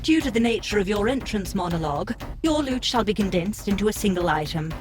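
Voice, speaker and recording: A man's voice: high voice, expressive, a little fast, soft background music, pitched above the performer's own voice. A man doing a formal game system announcer.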